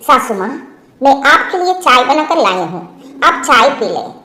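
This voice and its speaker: A meek young crow bride from a cartoon story, voiced by a woman. Her high voice is respectful and pleading. It rings with a noticeable room echo. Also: big pitch swings, moderate pace, pushed and bright, full character voice. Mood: dutiful. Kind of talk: Hindi cartoon story character acting.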